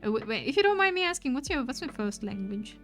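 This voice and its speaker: A woman's voice: high voice, sing-song, quick pace, a bit bright. A young woman whose first language is German, livestreaming and chatting casually while she draws, thinking aloud with fillers and restarts. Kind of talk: casual chat while drawing. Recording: faint lofi music underneath.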